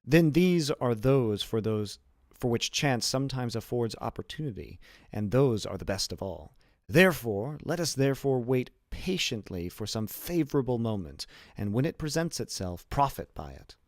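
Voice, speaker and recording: A man voicing a learned, principled old imprisoned abbé in a deliberate, formal, lecturing manner for an audiobook. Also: mid-range voice, lively intonation, no echo, studio quality, lightly acted. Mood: measured, explanatory. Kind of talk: audiobook character reading.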